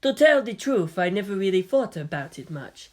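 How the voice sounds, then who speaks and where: A man's voice: high-pitched, big pitch swings, normal speed. A man voicing an uneasy, sceptical army sergeant in his forties, questioning and reflective, in a storybook reading.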